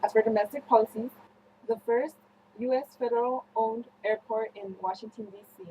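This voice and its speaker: A young woman presenting a scripted student newscast, reading haltingly with slips and self-corrections. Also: a little high, steady tone, narrow, tinny audio, a little hiss or hum. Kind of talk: scripted news-style narration for a history project.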